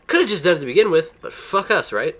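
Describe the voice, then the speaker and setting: A man's voice: fairly high pitch, lively intonation, fast, fairly bright tone. A man giving casual, scripted video game walkthrough commentary in a blunt, wry manner, on a slightly dull recording.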